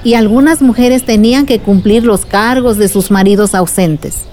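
A woman's voice: a little high, normal speed, mellow, a little hiss or hum, close to an everyday voice. A woman playing a patient, wise village aunt who explains things in a radio drama. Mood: patient, earnest.

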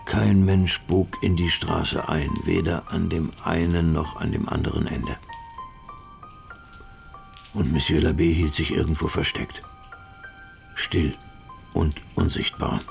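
A man narrating a radio crime drama as a grave storyteller, measured and suspenseful. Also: extremely low pitch, flat delivery, vocal fry, faint music behind, heavily acted.

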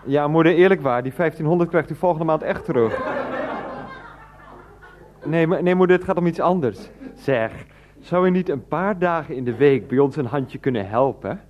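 A man's voice: fairly high pitch, animated, moderate pace, a little mellow, a faint crowd, a little hiss or hum, close to an everyday voice. An actor in a Dutch radio play as a grown son pleading and stammering on the phone to his mother. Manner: stammering, coaxing, often interrupted. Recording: dull, band-limited radio recording.

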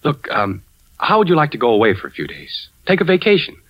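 A man's voice: on the high side, huge pitch swings, fast talker. An American actor playing a suspicious, anguished husband, an insurance investigator who narrates his story in a tense 1940s radio drama style. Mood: gently concerned. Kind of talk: 1940s radio drama acting, first-person suspense narration. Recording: dull 1940s radio recording with music.

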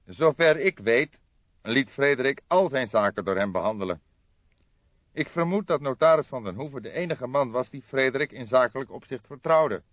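Dull, close audiobook sound carries a nervous, distraught man, acted out by a male narrator. His pitch is lifted well above the reader's and swings widely as he pleads his case under questioning. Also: animated, moderate pace, a bit bright, no echo. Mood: earnest. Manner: shocked, sobbing, lisping outbursts. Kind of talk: audiobook character voice.

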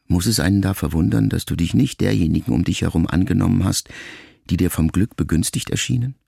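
An actor playing a pensive, melancholy grown son in a radio drama monologue, speaking to his mother in a gravelly, measured, intimate way. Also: deep voice, mellow, dry recording, clean studio sound, heavily acted. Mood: quiet reproach. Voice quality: gravelly, creaky.

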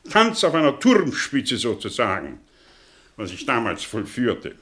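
An actor playing a cynical, bitter professor in a radio play, declaiming in with sardonic rhetorical questions. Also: male voice, medium pitch, huge pitch swings, measured pace, bright, forceful tone, heavily acted. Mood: emphatic, lecturing. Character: bitter, cynical male professor, theatrical intellectual monologist. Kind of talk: German radio play acting.

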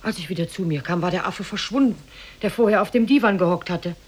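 A woman's voice: fairly low pitch, fast talker, husky. An actress in a 1950s radio play playing an anxious mother of a grown son, grave and resigned. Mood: grave, troubled.

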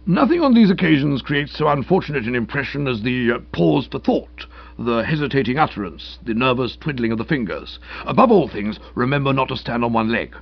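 A man's voice: mid-range voice, big pitch swings, fairly quick, vocal fry, breathy edge, muffled recording, heavily acted. A man voicing a pompous, blustering older British lord and magistrate who speaks in orotund, long-winded sentences. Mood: brisk, fussy.

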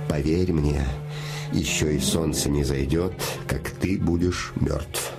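A man's voice: rumbling low, steady tone, slow pace, creaky, exaggerated voice. An actor playing a man's scheming living shadow that poses as a devoted friend, insinuating, solemn and emphatic, in a theatrical fairy-tale play. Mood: grave warning. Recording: music behind the voice.

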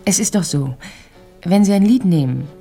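An actress in a German radio drama playing a shy, earnest, self-effacing dramaturge who speaks politely, timidly and with care. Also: female voice, lowish voice, expressive, normal speed, vocal fry, warm tone, faint music behind.

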